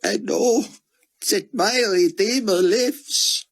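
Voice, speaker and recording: A man voicing a grieving middle-aged male scholar with an Israeli accent, speaking high and squeaky from a constricted throat. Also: takes it slowly, creaky, exaggerated voice.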